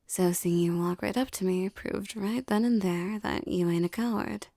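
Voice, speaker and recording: A woman playing a tough, doting young mafia boss girlfriend in an intimate ASMR romance roleplay, talking softly in tender, teasing street slang. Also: medium pitch, moderate pace, vocal fry, no echo, clean studio sound. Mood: fond, confident.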